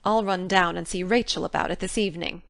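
A woman voicing a stern, practical older female guardian with a brisk, no-nonsense manner in an audiobook. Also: on the low side, steady tone, creaky, fairly bright tone, dry recording, pitched below the performer's own voice. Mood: resigned pondering. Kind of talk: audiobook character dialogue.

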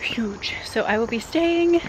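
A young woman with a cold, hoarse and congested, talking quietly to a phone held at arm's length while walking a forest trail. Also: a little high, expressive, slow pace, noisy background. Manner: quiet, hushed and slightly hoarse. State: congested with a cold, voice partly lost. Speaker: vlogger. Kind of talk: casual vlog talk to camera.

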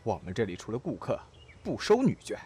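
A gruff doorman thug acted by a man in a comedy radio play. His voice is husky and animated, with a brusque, barking, impatient manner. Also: medium pitch, brisk, soft background music. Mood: brusque, threatening. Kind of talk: Chinese comedy audio drama acting.

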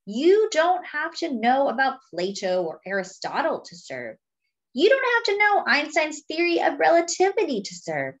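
A woman reading a picture book aloud to young children in a deliberate, teacherly way, adding simple explanations as she goes. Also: high voice, wildly swooping pitch, lo-fi sound. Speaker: children's read-aloud storyteller.

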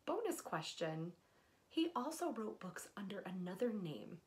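A woman's voice: mid-range voice, very animated, a little slow, a little mellow. A woman in her forties, a librarian putting a trivia question to camera in a small room. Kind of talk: quiz presentation to camera. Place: small indoor office room.

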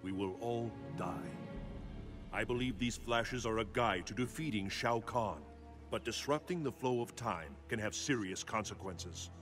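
A wise thunder god voiced by a man in a fighting game. He speaks low and solemnly, with formal, measured gravity, over faint music. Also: natural voice. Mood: grave, foreboding. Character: solemn, wise male thunder god, protective elder mentor.

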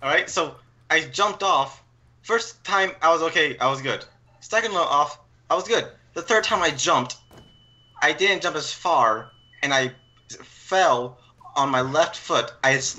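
A young man chatting casually while gaming, explaining things in a halting, rambling way. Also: fairly high pitch, pushed and bright, dull audio. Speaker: gaming storyteller.

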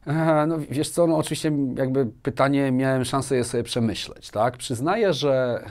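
A man's voice: medium pitch, normal speed, darkish tone. A man in his forties talking conversationally as an interview guest, telling personal anecdotes and often adding tag questions. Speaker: interview guest, business manager. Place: small furnished studio, indoors. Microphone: lapel mic.

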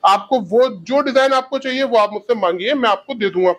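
Young man with a lapel mic. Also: fairly bright tone. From India. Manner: fast sales pitch. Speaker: shoe seller. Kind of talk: product showcase. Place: shoe showroom.

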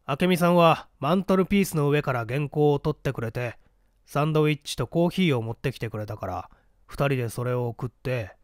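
A man's voice: medium pitch, brisk, no room sound, studio quality, lightly acted. A man voicing a blunt, hot-headed newspaper reporter giving his account curtly in a mystery reading. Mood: recounting. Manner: curt, defensive. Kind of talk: dramatic storybook reading of a mystery.

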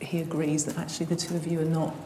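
Woman, social worker, formal steady report, low voice, long hall reverb. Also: fast, a little hiss or hum.

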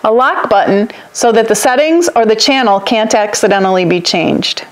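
A woman's voice: medium pitch, moderate pace. An American woman in her fifties explaining gear as a co-host of an overlanding vlog, recorded on a lapel mic. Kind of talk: explanatory product demonstration and narration. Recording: some background ambient noise.

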